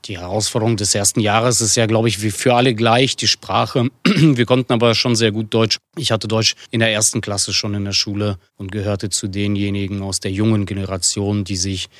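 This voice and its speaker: A middle-aged man with a fairly low voice, recorded dry and close. He answers interview questions fluently, recalling personal experience. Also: steady tone, normal speed, few pauses, fairly bright tone, no echo. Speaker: interview guest.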